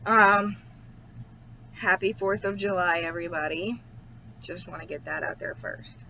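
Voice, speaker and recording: A man chatting in a casual video diary at home, slow and hesitant with many ums, his throat a little irritated. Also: high voice, vocal fry, sharp tone. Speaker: vlogger. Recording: dull lo-fi with faint hiss.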